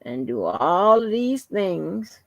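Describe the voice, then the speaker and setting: A woman hosts a relaxed livestream, rambling to her viewers in a soft, soothing voice. She speaks slowly and casually, calling them y'all. Her voice sits at a middle pitch, and the sound is dry with no room echo. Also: vocal fry. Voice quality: soft, calming voice. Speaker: livestream host.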